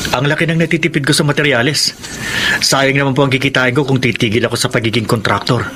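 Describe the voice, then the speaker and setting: Actor as a troubled, bright-voiced married man. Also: mid-range voice, fairly even intonation, fast talker, slight background noise, lightly acted. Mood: respectful, evasive. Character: troubled married contractor, a respectful grown son. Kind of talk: Filipino radio drama acting.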